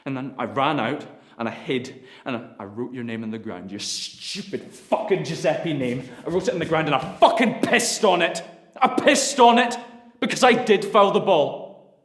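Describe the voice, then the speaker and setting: A man's voice: mid-range voice, brisk, pitched above the performer's own voice, close to an everyday voice. A man playing a bitter son raging at his father. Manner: furious, swearing, voice climbing to shouts. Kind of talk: audition monologue. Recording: roomy echo.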